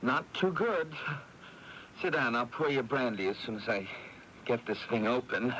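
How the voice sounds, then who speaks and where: Male actor as spoiled hot-headed brother, lively swooping delivery, sneering, self-pitying, 1980s radio play, slightly hissy AM broadcast. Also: mid-range voice, normal speed, natural voice, low-quality recording. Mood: sullen, brooding. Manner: needling, sneering, with stammered repetitions. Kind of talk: 1980s radio drama acting.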